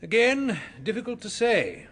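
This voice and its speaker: A man acting a formal post-mortem surgeon, measured and precise as a witness in a radio drama. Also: very high-pitched, very animated, a bit bright, breathy edge, full character voice. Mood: careful, formal.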